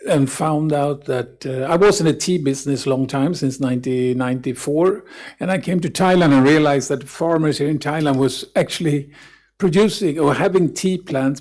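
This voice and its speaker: A man with a Swedish accent giving an informal account as a business representative, halting and correcting himself. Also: on the high side, a little mellow. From Sweden. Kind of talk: informal spoken account at a forum.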